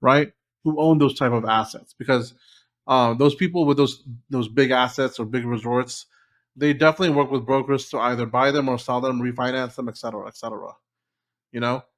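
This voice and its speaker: A man's voice: medium pitch, steady tone, brisk. A man answering interview questions in a loose, casual way, with frequent ums and you-knows. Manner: loose and conversational, with many fillers like um and you know. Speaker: guest, short-term rental company founder.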